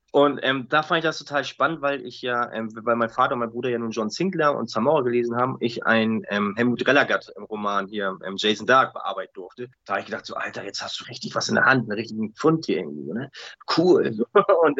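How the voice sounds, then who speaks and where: Fast-talking man, chatty and slightly muffled. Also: medium pitch, dry recording. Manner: colloquial, rambling, animated storytelling with tag questions. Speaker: interview guest, audio drama writer. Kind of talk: casual interview chat.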